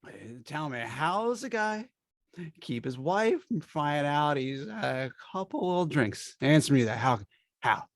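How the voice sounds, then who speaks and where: An actor playing a tipsy henpecked husband, wheedling and grandiose, in old-time radio style. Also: male voice, on the high side, big pitch swings, a little slow, no echo, studio quality. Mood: tipsy, rambling.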